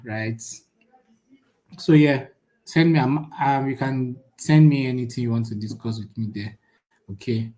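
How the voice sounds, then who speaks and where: A man's voice: medium pitch, poor audio. A young man teaching a live online class in a chatty, casual way, often checking in with the audience. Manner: chatty, frequent "right?" tags, lively jumps in loudness. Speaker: online course instructor.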